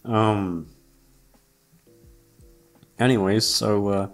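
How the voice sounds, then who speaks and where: A man's voice: lowish voice, fairly even intonation, very slow. A young man chatting casually to his listeners in a hesitant, self-deprecating way, swearing freely and trailing off. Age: young adult. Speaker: YouTube voice actor and channel host. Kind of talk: casual rambling chat to listeners. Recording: music playing behind the voice. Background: background music.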